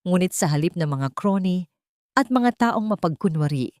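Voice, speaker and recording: A woman narrates a dramatic audiobook in a lowish voice. Her intonation swings expressively while her delivery stays measured and solemn. The recording is dry and close, with no room sound. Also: expressive, darkish tone, no echo. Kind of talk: scripted dramatic story narration.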